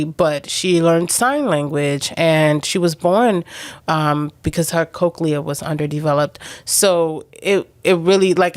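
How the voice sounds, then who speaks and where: A woman's voice: deep voice, no room sound. A middle-aged woman whose first language is Hebrew, hosting a health podcast in a chatty way and often restarting her sentences. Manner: chatty, with frequent restarts and soft affirmations. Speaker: podcast host. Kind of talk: casual health podcast chat.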